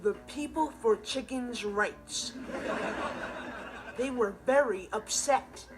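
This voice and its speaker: A man's voice: very high-pitched, takes it slowly. A young male actor playing an earnest, responsible, easily exasperated teenage stepbrother, telling stories with emphatic comic timing in a TV sitcom. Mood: indignant storytelling. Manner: emphatic, theatrical comic delivery. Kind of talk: scripted comic monologue in a TV sitcom. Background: music and laughter.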